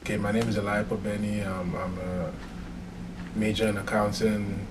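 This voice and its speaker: A young man answers interview questions at a measured, hesitant pace, often stopping on "um". His voice sits low and stays fairly flat in pitch. The mic is some distance away, and clear background noise is heard. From Nigeria. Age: young adult. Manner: hesitant, fills pauses with um. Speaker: interviewee, accounting student.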